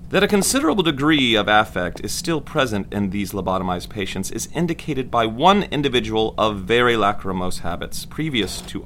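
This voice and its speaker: A man's voice: lowish voice, fairly bright tone. A detached, confident doctor played by a man at a 1940s medical school lectern, his intonation rising and falling over a hissy backdrop, in an audio drama. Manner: measured, detached, authoritative. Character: confident, clinical 1940s male lobotomist lecturing medical students. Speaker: actor playing a lecturing doctor. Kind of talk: formal scripted medical lecture.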